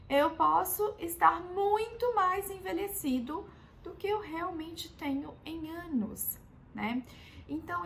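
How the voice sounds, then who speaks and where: High-voiced Brazilian woman doctor explaining. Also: slight background noise, rough recording. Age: 30s. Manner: patient and explanatory, often adds "né" to check in. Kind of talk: explanatory health video talk.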